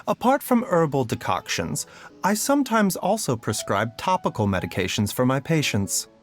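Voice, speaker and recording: An actor playing a gentle, scholarly doctor in a fantasy game, speaking in a measured, polite and cautious way. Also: male voice, mid-range voice, natural voice. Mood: calm explaining. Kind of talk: scripted game voice acting. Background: background music.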